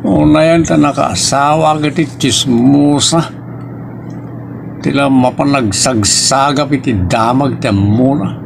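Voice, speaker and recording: Man playing a gravelly old village father, grumbling. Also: medium pitch, fairly even intonation, a little slow, noisy background. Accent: Ilocos. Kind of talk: Ilocano audio drama acting.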